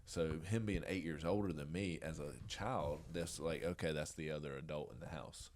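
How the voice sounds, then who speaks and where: A middle-aged man with a deep, booming voice and a Southern Georgia accent, rambling through stories with chuckles and fillers as a podcast guest. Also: steady tone, moderate pace, darkish tone. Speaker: podcast guest, jiu jitsu coach. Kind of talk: casual interview storytelling.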